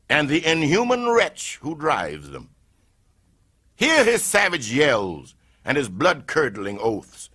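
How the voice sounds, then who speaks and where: An older man giving a solemn dramatic reading of a historical speech, rising to impassioned peaks and sinking to hushed tones. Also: medium pitch.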